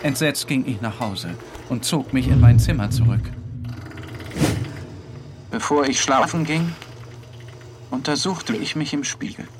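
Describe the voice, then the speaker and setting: A man giving a dramatic first-person literary narration, brooding, with phrases broken by pauses. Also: medium pitch. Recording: clear ambient background noise.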